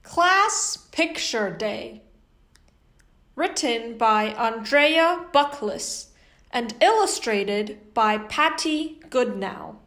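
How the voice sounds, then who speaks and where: A young woman reading a children's picture book aloud as host, in an animated, carefully enunciated way. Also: a little high, very slow. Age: young adult. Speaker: host and children's story reader. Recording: echoey indoor room.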